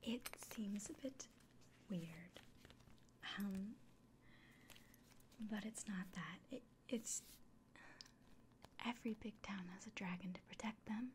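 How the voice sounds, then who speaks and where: A woman playing a kindly village innkeeper in a fantasy ASMR roleplay, speaking in a soft, breathy whisper with gentle, hesitant pauses. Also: medium pitch, close to an everyday voice. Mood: hopeful, earnest. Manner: gentle, unhurried, hesitant pauses, fussing over the listener. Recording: close soft recording with clear background noise.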